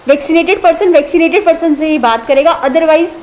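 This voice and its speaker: A woman hosting a TV call-in show, brisk and affirming as she moderates callers and the expert. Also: sits high, darkish tone, a little hiss or hum.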